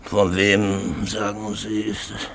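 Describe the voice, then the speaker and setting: Self-satisfied old potentate, gravelly, very slow, halting short phrases, radio play. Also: male voice, lowish voice, level tone, fairly bright tone. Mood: curious, deliberate. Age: older. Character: elderly wealthy Middle Eastern potentate, deliberate, self-satisfied art buyer. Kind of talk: radio drama acting.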